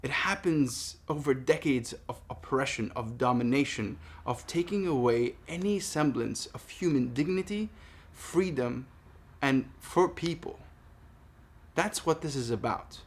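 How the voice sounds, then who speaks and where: Guy in his 30s from palestine, talking straight to camera, earnest and deliberate, makes his points firmly. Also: medium pitch, lively intonation, slightly rough. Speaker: vlogger making a response video. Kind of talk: personal monologue addressed to camera.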